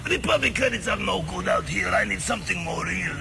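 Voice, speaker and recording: A man voicing a gruff, greedy alien junk dealer with a gravelly voice in a space adventure story. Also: high voice, expressive, fairly quick, over music, heavily acted. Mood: gruff refusal. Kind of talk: film dialogue in a read-along audiobook.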